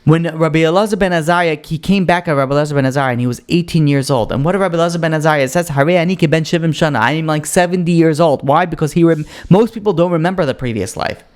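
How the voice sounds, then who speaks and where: A man's voice: fairly high pitch, racing, hardly any pauses. A man, a rabbi, giving a casual Torah lesson, explaining with rhetorical questions and frequent restarts. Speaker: rabbi teaching a Torah class.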